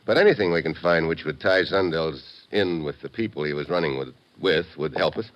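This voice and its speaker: An actor playing a tough, wry American secret agent, hard-boiled and slangy, in 1950s radio drama style. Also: male voice, low-pitched, expressive, brisk, lo-fi sound. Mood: alert, curious.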